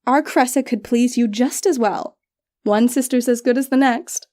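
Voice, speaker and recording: A woman's voice: on the high side, moderate pace, dark tone, voice pushed up, close to an everyday voice. A woman voicing a cruel, petulant witch sister in a fantasy audiobook, with a taunting, sing-song, theatrical delivery. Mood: mocking, playful.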